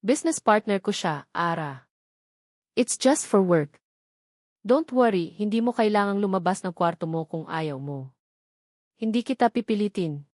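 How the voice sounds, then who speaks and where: A woman voicing a calm, reassuring billionaire man in a read-aloud love story, his lines steady and mixing English into Tagalog. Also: medium pitch, unhurried, long pauses, no echo, studio quality.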